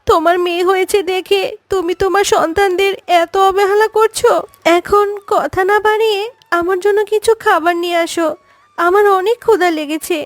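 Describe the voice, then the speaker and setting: A woman voicing a gentle, worried mother fox in a children's cartoon, with an earnest manner. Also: very high-pitched, steady tone, moderate pace, no echo. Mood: reproachful. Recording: background music under voice.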